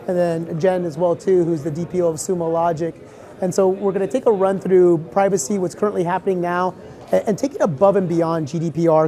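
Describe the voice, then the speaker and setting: Woman, panel moderator, low mellow voice, rapid chatty delivery. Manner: chatty, quick, with fillers. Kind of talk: live panel discussion.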